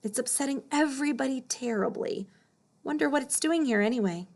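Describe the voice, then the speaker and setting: A woman's voice: high voice, brisk, voice pushed up. A woman voicing a grumbling, suspicious male wild boar from a children's storybook, muttering to himself in clipped phrases.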